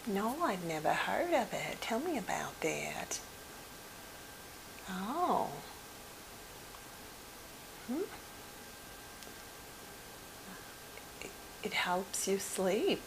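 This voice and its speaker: Woman acting as a gentle therapist, ASMR roleplay, soft-spoken and whispery, very slow, hesitant and soothing, faint hiss. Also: fairly low pitch, vocal fry, full character voice. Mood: soothing, curious. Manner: hesitant, soothing, reassuring, with many soft pauses. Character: gentle, caring woman psychotherapist counseling a fairy client. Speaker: ASMR performer.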